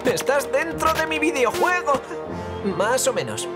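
Melodramatic, high-voiced gamer boy. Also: sing-song, unhurried, over loud music, heavily acted. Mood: ominous drama. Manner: ominous, theatrical, repetitive. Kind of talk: animated children's cartoon dub.

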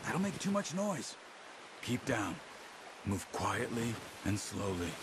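An actor playing a calm, watchful outlaw hunter in a video game, speaking in a terse, instructive way. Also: male voice, on the low side, slow, noisy background. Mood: hushed, focused. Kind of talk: video game drama dialogue. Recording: game audio under loud music. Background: loud background music.

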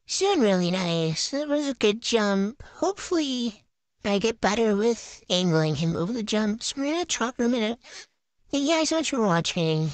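A woman's voice: on the high side, big pitch swings, moderate pace, no echo. A young adult woman giving casual, chatty play-by-play gameplay commentary in short phrases, like a vlogger. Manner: chatty, narrating each move in short phrases. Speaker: gaming vlogger.

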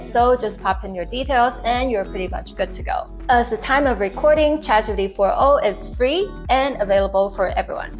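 A young woman hosting an upbeat explainer video, talking to camera. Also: medium pitch. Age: young adult. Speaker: tech tips video host. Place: living room, indoors.

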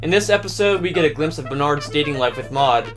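A man's voice: fairly high pitch, animated, quick pace, music in the background. A man in his twenties hosting a scripted countdown to camera in a small room at home. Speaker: host of a fan video countdown.

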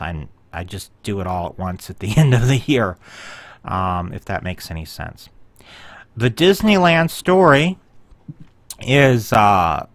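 A man's voice: fairly low pitch, a bit bright, dry recording. A man casually walking viewers through his website in a screen-share, rambling a little with frequent ums and self-corrections. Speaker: website owner giving a tour.

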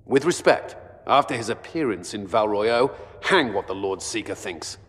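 An actor playing a serious, blunt military commander in a video game, speaking directly and earnestly. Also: male voice, on the low side, expressive, normal speed, a bit bright, in a large hall. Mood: defiant. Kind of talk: dramatic video game dialogue.

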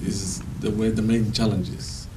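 A man from Somaliland with a Somali accent, a panel guest and interpreter speaking haltingly on a studio talk show. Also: on the low side, little pitch movement, distant mic, noisy background. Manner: halting, repeats words while searching. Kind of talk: panel discussion. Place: TV studio, indoors. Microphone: handheld mic.

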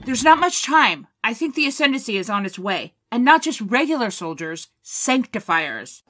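A woman's voice: fairly high pitch, very animated, normal speed, sharp tone, full character voice. A woman playing an honourable military colonel in an audio drama, speaking with formal, firm authority. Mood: earnest, urgent.

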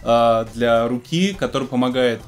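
A man's voice: mid-range voice, moderate pace. A man in his thirties presenting music technology news in a casual, semi-scripted style from a home studio. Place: small home studio room with keyboards.

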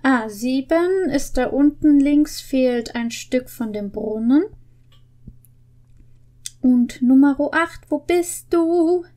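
Young woman, very high voice, slow pace, playful video host, thinking aloud, close mic. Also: dark tone. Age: young adult. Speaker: video host opening an advent calendar. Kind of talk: playful casual chat while solving puzzles. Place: indoor home studio with green screen. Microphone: desk microphone with pop filter, close.

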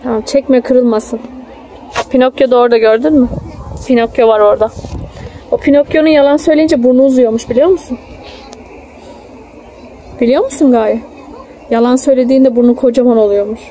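Woman, thirties, mellow tone, casual explaining to a young child, endearments, phone recording outdoors, faint people in the background. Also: on the high side, fairly even intonation, fairly quick, long pauses, poor audio. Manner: explains and points things out, coaxing with endearments. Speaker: mother filming her child. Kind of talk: casual chat with a small child. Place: open-air children's park. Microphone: handheld phone, speaker behind the camera. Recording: outdoor phone video with faint crowd noise.

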